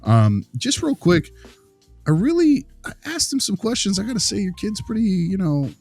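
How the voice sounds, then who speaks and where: A man's voice: high voice, big pitch swings, moderate pace, darkish tone, dry recording, soft background music, heavily acted. A man playing an affable, slightly awkward visiting consultant, chatty, informal and rambling, in improvised audio drama. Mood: friendly.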